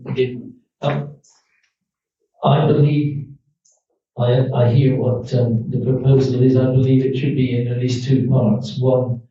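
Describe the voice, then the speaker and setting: A man councillor speaking up at a meeting in a halting, stumbling way, with many pauses and fillers. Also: mid-range voice, level tone. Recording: distant, echoey, dull room mic.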